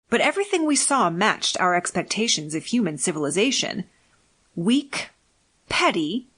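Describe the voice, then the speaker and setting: A woman narrating a fiction audiobook in a measured, deliberate, scripted reading. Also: on the low side, a bit bright, slightly rough.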